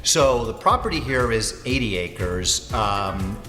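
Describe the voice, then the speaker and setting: A man's voice: lowish voice, expressive, slow pace, pushed and bright. A man in his sixties, a winery owner and winemaker whose first language is Finnish, giving casual, rambling interview answers full of fillers and self-corrections. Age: 60s. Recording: echoey room with long reverb and music.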